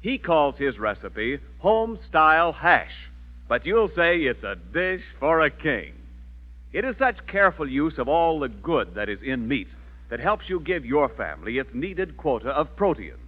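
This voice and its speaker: Male radio announcer, American, 1940s scripted sales delivery, lively intonation, tinny narrow-band old broadcast. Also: a little high, unhurried.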